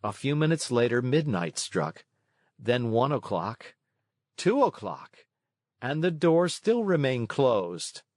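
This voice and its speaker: Male children's story narrator: lively intonation, measured pace, scripted read-along delivery. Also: mid-range voice, no echo, clean studio sound.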